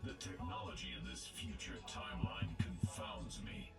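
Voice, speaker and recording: A man's voice: a bass voice, sing-song, normal speed, creaky, exaggerated voice. A man voicing a cold, genocidal game robot with stiff, formal, menacing speech and metallic processing. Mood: cold, calculating. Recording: game audio, echoing, loud music behind. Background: loud game music.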